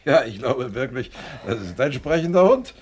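Lively, sardonic police detective. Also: male voice, mid-range voice, normal speed, breathy edge. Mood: dry, mocking. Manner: sardonic, joking. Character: wisecracking, dry-witted older male police detective. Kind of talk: 1960s German radio crime drama.

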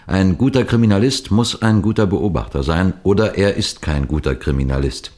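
An actor playing a seasoned, courteous detective superintendent who tells his case deliberately and instructively in the style of a 1960s German radio play. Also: deep voice, a little mellow. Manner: deliberate, didactic, addressing listeners formally.

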